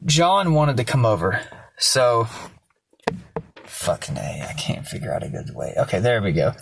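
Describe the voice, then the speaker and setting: Hoarse young guy vlogging at home, loose and rambling, swears a lot. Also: mid-range voice, very animated, normal speed, fairly bright tone, a little hiss or hum. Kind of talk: casual storytelling vlog. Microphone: self-filmed camera.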